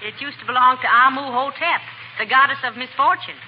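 An actress playing a dry, affectionate, skeptical middle-aged wife in a 1940s radio comedy. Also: female voice, high voice, fairly quick, lo-fi sound, lightly acted. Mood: wry. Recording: very noisy, band-limited 1940 radio broadcast.

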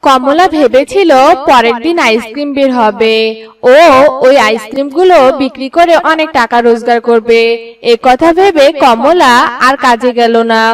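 A woman with a high voice tells a scripted children's cartoon tale as narrator. The sound sits slightly away from the microphone. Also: normal speed, distant mic.

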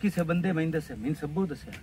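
A male actor plays a boastful young village suitor in a comic drama, bantering and protesting in a rural Pakistani Punjabi accent. His voice is rather high for a man yet mellow in tone. He is heard from some distance outdoors. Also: animated, rapid-fire, lightly acted. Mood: gossiping. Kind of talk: improvised comic drama dialogue. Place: outdoors by a brick wall and field. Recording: distant camera sound, faint outdoor noise.

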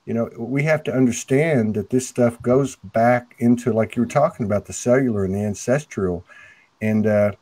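A middle-aged man with a loud, carrying voice talking earnestly on a video call, full of restarts, through an earphone mic at home. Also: medium pitch, dry recording. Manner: earnest, halting, many restarts. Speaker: host. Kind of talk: spiritual video call conversation. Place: home room, indoors. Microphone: earphone headset mic on a video call.

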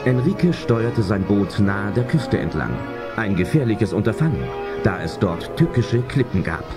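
A man's voice: fairly low pitch, very animated, moderate pace. A man narrating a suspenseful adventure audio drama in scripted storyteller style. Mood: suspenseful storytelling. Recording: loud music under narration.

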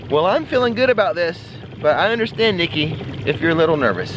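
A man's voice: fairly high pitch, animated, fast, muffled recording. An American man vlogging from a small boat on a lake, upbeat and joking. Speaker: travel vlogger. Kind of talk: casual chat. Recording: boat engine and water noise.

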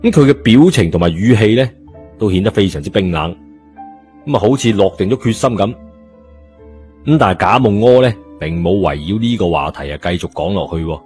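A Cantonese man narrates an audiobook in a fairly low voice. His delivery is colloquial storytelling. Background music runs beneath him. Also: lively intonation, brisk, darkish tone.

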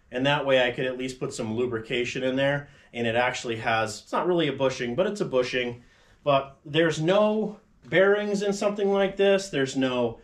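A man in his forties, a fabrication channel host, casually explaining a metal shop tool in his home garage, rambling with frequent asides. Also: on the high side. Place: cluttered home garage workshop with tarp walls.

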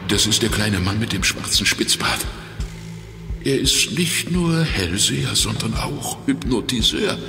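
An actor playing a weakened, injured ex-crook turned private detective in a children's audio drama, confessing in a halting, strained and determined way. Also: male voice, fairly low pitch, lively intonation, pushed and bright, full character voice. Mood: strained, grave. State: weak and exhausted from injury. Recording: echoey reverberant hall, music underneath.

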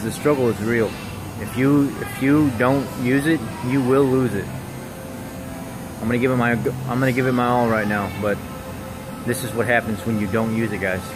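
A man's voice: medium pitch, poor audio. A man in his thirties talking casually and candidly to camera, self-critical, recorded on a phone at arm's length in a gym. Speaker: gym vlogger. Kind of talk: casual motivational chat to camera. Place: large indoor gym. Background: loud music.

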